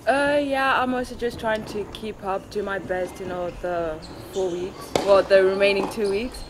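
A woman's voice: medium pitch, steady tone, moderate pace, noisy background. Teen girl from Zimbabwe answering questions. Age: teens. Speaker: junior tennis player, guest. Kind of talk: casual interview answers. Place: outdoor tennis court.

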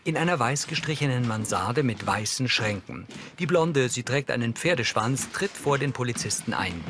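A man's voice: mid-range voice, animated, moderate pace, noisy background. A man reading scripted audio description in a neutral, matter-of-fact way as the narrator.

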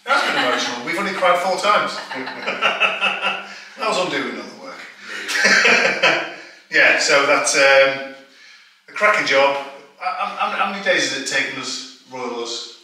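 A man's voice: medium pitch, lively intonation, a little slow, bright, forceful tone, far from the mic. A man, chatting cheerily to a handheld camera in a tiled bathroom as a renovation vlogger. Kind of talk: casual chat to camera. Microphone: handheld camera at arm's length. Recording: echoey tiled room, faint music.

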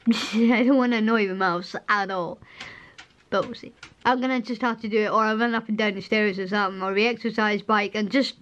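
A young Scottish woman with a strained, hoarse voice, chatting in a rambling home vlog and laughing often, filmed at arm's length in her living room. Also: mid-range voice, steady tone, a little fast, a bit bright, lo-fi sound. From Scotland. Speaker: vlogger. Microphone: camera at arm's length.

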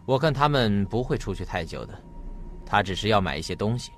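A man's voice: lowish voice, sing-song, brisk, slight background noise. An actor playing a paternal, somewhat uneasy middle-aged husband and father in a radio drama. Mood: probing, uneasy.

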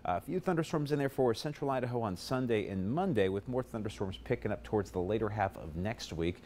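A man in his thirties giving a live TV weather forecast in a studio, brisk and conversational, running through numbers steadily on a lapel mic. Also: fairly low pitch, lively intonation, a little mellow, a little hiss or hum. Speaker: TV meteorologist. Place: TV studio, in front of a weather map.

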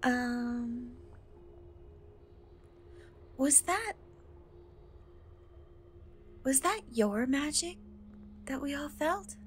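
A woman plays a young cat girl rescuer in a fantasy ASMR roleplay. Her voice is fairly high and soft, and she speaks very slowly, with gentle, hesitant reassurance. Noticeable ambient noise sits behind her. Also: expressive, full character voice. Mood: curious, impressed.

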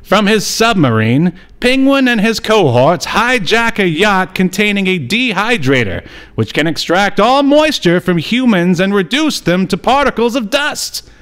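A man voicing a bombastic old-time superhero serial narrator, with booming, melodramatic, emphatic delivery. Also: sing-song, measured pace, few pauses, fairly bright tone, clear, resonant tone, heavily acted. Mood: grandly dramatic.

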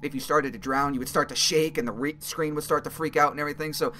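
A young man with a slightly raspy voice giving casual, excitable commentary while playing a game, speaking into a headset mic. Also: fairly high pitch, fast. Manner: excitable, swears and yells when he fails. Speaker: gaming video creator. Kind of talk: casual let's-play commentary.

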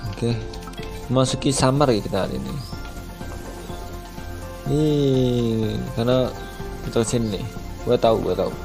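A man's voice: medium pitch, unhurried, vocal fry, long pauses. A young man with an Indonesian accent giving casual, slangy gameplay commentary into a headset mic. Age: young adult. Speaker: gaming YouTuber. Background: game music.

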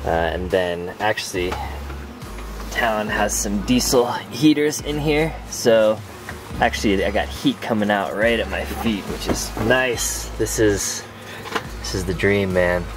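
Male camping vlogger, thirties, lowish pitch, casual to-camera chat over background music. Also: a little slow. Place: inside a camper van and outdoors at a forest campsite. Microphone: camera held at arm's length.

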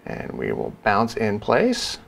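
A man in his thirties giving an informal software tutorial, casually talking through each step. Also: lowish voice, huge pitch swings, takes it slowly, gravelly, a little hiss or hum. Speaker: sound design tutorial presenter.

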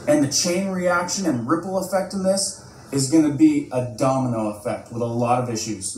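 A man's voice: a little high, reverberant, a little hiss or hum, low-quality recording. A man in his thirties recording an urgent vlog from inside a parked vehicle, talking close to his phone with emphatic, repeated warnings. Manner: alarmed, emphatic, repetitive warnings. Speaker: prepping vlogger. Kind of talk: urgent news-style vlog.

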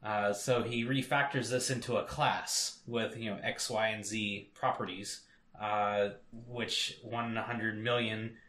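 A man's voice: on the low side, fairly even intonation, slow pace, a bit bright, reverberant. A man co-hosting a casual tech podcast, rambling with many fillers. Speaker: podcast co-host.

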